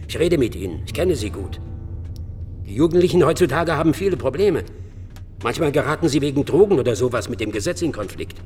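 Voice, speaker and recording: A man's voice: medium pitch, lively intonation, brisk, darkish tone, big-room sound, faint music behind, lightly acted. An actor playing a defensive, bitter middle-aged bar owner in a German crime radio play, evasive and coarse as he justifies himself under questioning. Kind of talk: German radio drama acting.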